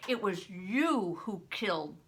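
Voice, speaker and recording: A woman's voice: medium pitch, animated, slow pace, a little hiss or hum. An older woman reading aloud as a defiant young peasant boy, speaking earnestly and firmly.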